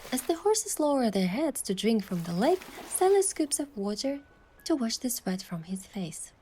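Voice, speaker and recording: An actress playing a playful, affectionate young heroine who softly narrates her own love story in a romance game. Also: a little high, sing-song, normal speed, slight background noise. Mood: soft narrating.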